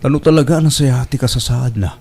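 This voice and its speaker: A man's voice: medium pitch, a little fast, creaky, dark tone. A man playing a devoted, respectful young provincial suitor in a family radio drama. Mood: worried, resolute.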